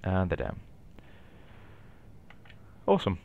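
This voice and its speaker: A man's voice: deep voice, slow, creaky, highs cut off. A man with a Geordie accent casually narrating a flight simulator session, explaining each step and thinking aloud. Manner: relaxed, explanatory, thinking aloud with light asides. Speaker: flight simulator video maker. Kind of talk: casual narrated gameplay walkthrough.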